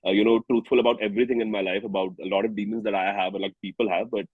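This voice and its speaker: Indian guy, lowish slightly husky voice, chatting casually, keeps searching for his words. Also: little pitch movement, no echo, phone-quality sound. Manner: halting, searching for words, candid. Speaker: singer-songwriter guest. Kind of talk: casual interview chat.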